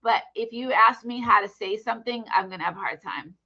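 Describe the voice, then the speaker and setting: American woman teacher, easygoing and halting, bright voice, muffled lo-fi call sound. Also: on the high side. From United States. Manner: relaxed, hesitant with many fillers. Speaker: English teacher. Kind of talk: casual online conversation.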